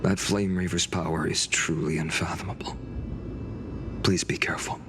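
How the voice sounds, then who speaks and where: An actor voicing a calm, earnest young hero in a video game, formal, polite and solemn. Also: male voice, low-pitched, moderate pace, background noise, natural voice. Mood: calm, grateful. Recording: music behind the voice.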